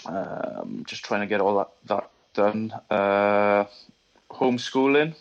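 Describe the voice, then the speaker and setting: A man, talking as a guest in a halting, repetitive way while he thinks. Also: fairly low pitch. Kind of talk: casual remote chat. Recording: lo-fi call audio.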